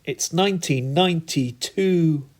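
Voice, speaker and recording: A man performing narrative poetry in a theatrical, deliberate manner with dramatic pauses and emphatic repetition. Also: on the high side, darkish tone. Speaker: spoken-word poet.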